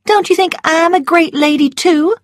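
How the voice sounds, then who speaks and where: A woman reads as a spoiled young belle, her voice pushed up high and shrill, petulant and vain. It sounds close and dry, with no room echo. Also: normal speed.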